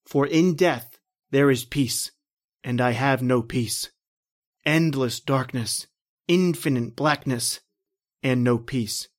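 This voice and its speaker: A man's voice: mid-range voice, takes it slowly, pauses often, lightly acted. Male actor for a ghostly narrator, old radio drama, intense and desperate. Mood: mournful. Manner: intense, rising to panicked shouting. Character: terrified man narrating his own death.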